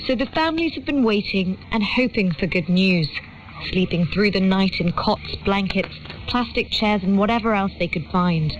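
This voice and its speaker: A woman's voice: mid-range voice, expressive, normal speed. A woman reporter reading a scripted news report, heard over shortwave radio. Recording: dull shortwave radio broadcast.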